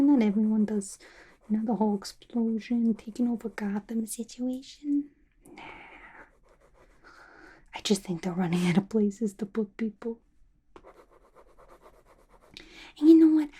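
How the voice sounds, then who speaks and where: A woman voicing a playful, gossipy villain locked in an asylum, in a breathy, chatty, confiding ASMR roleplay. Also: mid-range voice, dark tone, natural voice. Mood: wistful, gossipy. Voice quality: breathy, whispery. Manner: chatty, confiding, casual slangy talk.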